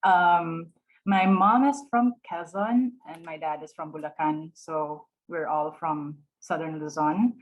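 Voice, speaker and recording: Slow, playful woman. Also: low-pitched, steady tone, low-quality recording. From Philippines. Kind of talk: casual video call chat. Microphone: video call.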